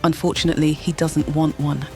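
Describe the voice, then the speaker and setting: Female narrator for audio drama: deep register, scripted dramatic storytelling, music underneath. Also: little pitch movement, brisk. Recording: music bed behind the voice.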